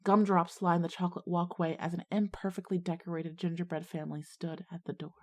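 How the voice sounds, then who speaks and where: A woman acting as an earnest schoolgirl visionary, narrating her own ghost story in a measured way for an audio drama. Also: lowish voice, flat delivery, darkish tone, lightly acted. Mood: wistful, nostalgic. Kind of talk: scripted first-person dramatic narration.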